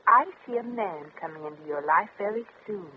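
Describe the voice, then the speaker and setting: Breezy wisecracking dame, tinny radio. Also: female voice, fairly low pitch, expressive, fairly quick, breathy edge. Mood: knowing, coaxing. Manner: flip, streetwise, casual. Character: breezy, wisecracking, worldly woman fortune teller and drifter. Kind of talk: 1950s radio comedy acting. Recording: crackly, tinny old radio recording.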